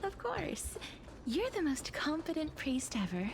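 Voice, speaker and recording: A woman playing a gentle, composed young heroine in a video game, soft, measured and polite. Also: sits high, wildly swooping pitch, vocal fry, noisy background, full character voice. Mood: fond. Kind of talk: video game character voice acting.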